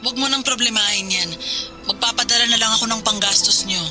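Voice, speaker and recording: A woman's voice: a little high, fast talker, natural voice. An actress playing a working wife and mother in a radio drama, conversational and emotive. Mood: concerned. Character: working mother and wife living far away. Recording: music behind the voice.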